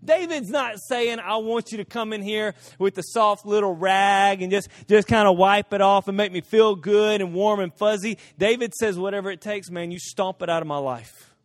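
A middle-aged man preaching a sermon, telling stories in an animated way, rising to emphatic peaks and dropping to hushed asides. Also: high-pitched, normal speed, hardly any pauses. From Central America. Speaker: pastor.

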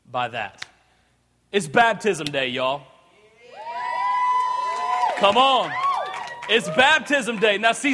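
A man, preaching an excitable, joking sermon and often stammering and repeating words. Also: high-pitched, big-room sound. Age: 30s. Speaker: pastor. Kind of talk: energetic sermon. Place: large church hall.

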